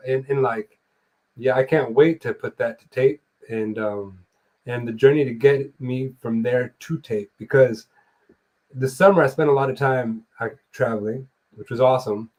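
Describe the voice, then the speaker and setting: A young man hosting a live streamed chat, easygoing and rambling, with loose fillers and a casual swear now and then. His voice sits at an ordinary middle pitch for a man, heard over a streaming call mic. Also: normal speed, a little mellow, poor audio. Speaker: podcast host and musician. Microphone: remote streaming call mic.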